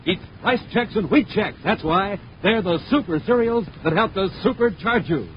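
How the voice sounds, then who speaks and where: A male 1950s radio announcer delivering an excited pitch to listeners. His voice is high and swoops with lively inflection. The recording is muffled, with faint noise. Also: moderate pace.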